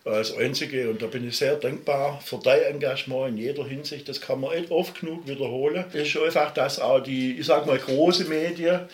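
A man's voice: mid-range voice, moderate pace, few pauses. Swabian guy getting worked up, middle-aged, echoey room. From Baden-Württemberg, Germany. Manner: passionate, emphatic, digressive. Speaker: musician guest. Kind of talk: interview talk.

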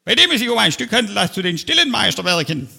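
A man's voice: high voice, very animated, quick pace, vocal fry, sharp tone, husky, heavily acted. A man playing a pompous, self-important speaker who gives a long-winded stage speech. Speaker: comedian on stage. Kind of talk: parody of a pompous official speech. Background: audience laughter.

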